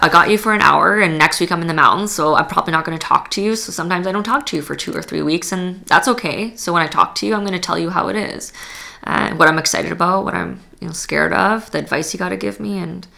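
A woman's voice: low-pitched, gravelly, sharp tone. A young woman born in Poland, talking casually as a podcast guest, full of "like" and restarts. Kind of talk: casual interview chat.

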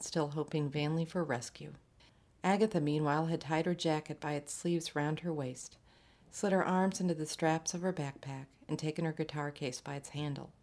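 A woman's voice: sits low, a little mellow. A woman reading a short story aloud as scripted literary narration.

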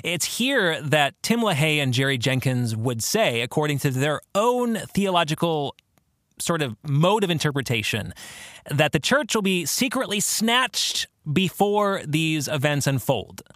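A man hosting a podcast, explaining theology in an informal monologue with a halting, thoughtful delivery and emphasis on key words. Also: fairly high pitch, sing-song, a bit bright, no echo, clean studio sound.

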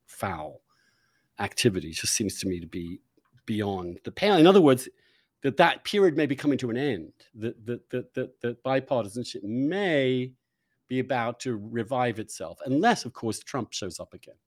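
Male host, lively intonation, emphatic opinionated conversation with halting repetitions. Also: mid-range voice, no room sound, studio quality. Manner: emphatic, halting, repeating words for stress. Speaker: interview host.

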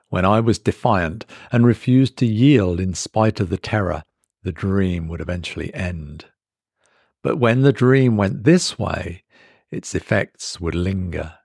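A man reading a first-person horror story as a trapped man in dazed, creeping dread, speaking in halting, brooding phrases. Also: on the low side, darkish tone, no room sound, studio quality, full character voice.

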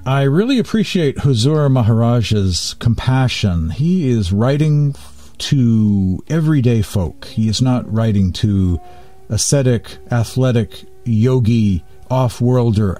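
A man hosting a spiritual radio talk, reading and explaining teachings in deliberate, short phrases. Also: mid-range voice, animated, dark tone. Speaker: radio host.

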